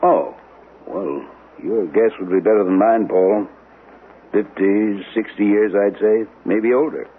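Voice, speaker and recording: Genial older man, lowish voice. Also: slow. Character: genial, practical grandfather, suburban weekend host. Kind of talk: 1970s radio drama acting. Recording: dull lo-fi radio, faint noise.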